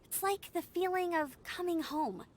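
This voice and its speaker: A woman voicing a sweet, gentle young woman in a video game, speaking earnestly and nostalgically. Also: extremely high pitch, normal speed, slight background noise. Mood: wistful. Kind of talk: video game character acting.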